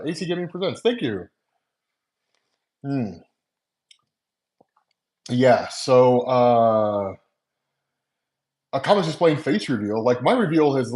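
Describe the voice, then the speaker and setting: A man's voice: medium pitch, darkish tone. A man in his forties chatting casually with viewers on a live stream, talking loosely with lots of fillers and laughs, into wireless earbuds. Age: 40s. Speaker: comics channel host. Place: at home making coffee.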